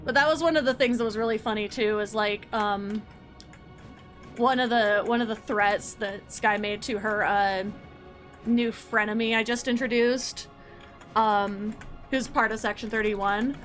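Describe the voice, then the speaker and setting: A woman's voice: fairly high pitch, steady tone, unhurried, a bit bright, soft background music. A woman streamer chatting casually, rambling, with lots of fillers and restarts. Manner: rambling, filler-heavy, self-correcting. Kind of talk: casual livestream chat.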